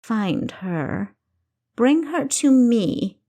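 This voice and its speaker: A woman voicing a wicked, commanding king in an audiobook, mocking and deliberate in the way he speaks. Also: on the high side, very animated, mellow, pitched above the performer's own voice, full character voice. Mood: imperious. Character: wicked, commanding king, male, menacing and teasing. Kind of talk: audiobook character dialogue.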